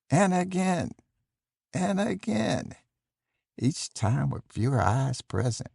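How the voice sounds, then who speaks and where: A man playing a haunted survivor, giving a measured, grave first-person horror narration in a gravelly, husky voice. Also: mid-range voice, a little mellow, no echo, studio quality, full character voice. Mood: haunted, uneasy. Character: haunted male survivor recounting escape in analog horror.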